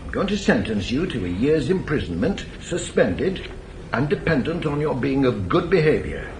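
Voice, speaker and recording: A formal male voice of middle pitch rises and falls as a pompous, stern magistrate played by an actor. The measured courtroom delivery comes from 1980s British radio drama, with faint tape noise. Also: sing-song, natural voice, rough recording. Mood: solemn, official.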